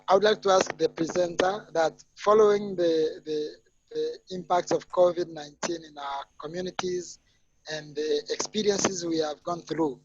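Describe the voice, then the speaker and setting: A man from Tanzania with a Tanzanian accent, putting a spontaneous question to a webinar presenter. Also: fairly high pitch. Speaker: webinar participant asking a question. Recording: dull, noisy online call with clicks.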